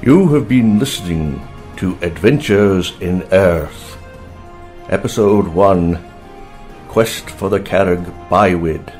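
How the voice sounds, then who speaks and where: A man reading scripted closing credits as a radio-serial announcer in a measured, formal manner. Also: low voice, darkish tone. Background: music.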